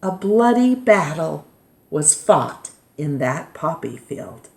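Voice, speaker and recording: An American woman reading a children's nonfiction book aloud like a storytime teacher, deliberately, pausing between short phrases. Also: deep voice, big pitch swings, gravelly, darkish tone, a little hiss or hum.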